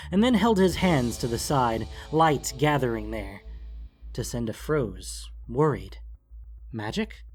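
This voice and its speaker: A man reading scripted, dramatic fantasy narration as an audiobook narrator. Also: medium pitch.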